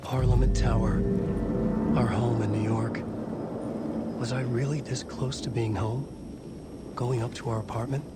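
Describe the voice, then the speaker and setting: An actor playing a troubled, lost writer, narrating a horror game in short, pensive phrases and questioning himself. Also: male voice, medium pitch, steady tone, a little slow, long pauses, very noisy, natural voice. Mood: searching, weary. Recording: loud eerie music underneath.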